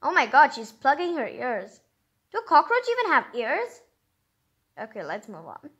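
Female read-aloud host, very high and lively, informal storybook reading with chatty commentary. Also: measured pace.

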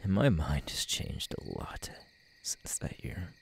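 Guy doing an unhinged bitter killer for audio roleplay, low husky male voice, slow n menacing, really swingy. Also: animated, slight background noise, full character voice. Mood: menacing, unstable. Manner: halting, brooding, bursting into anguished shouts. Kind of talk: ASMR audio roleplay acting.

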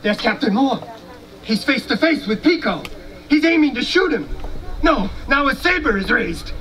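Man playing a high-pitched, blustering American soldier. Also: big pitch swings, moderate pace, sharp tone, heavily acted. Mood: alarmed. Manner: blustering, folksy, scornful. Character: proud, folksy American soldier boasting about his army. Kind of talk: live historical battle dramatization read from script. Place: outdoor stone amphitheater, far camera. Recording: distant outdoor recording with noise.

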